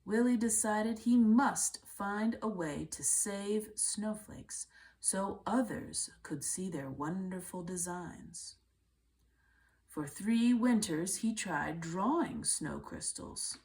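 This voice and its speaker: A woman reading a children's book aloud in a measured way, with deliberate phrasing and pauses. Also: mid-range voice, darkish tone. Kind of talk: read-aloud storybook narration.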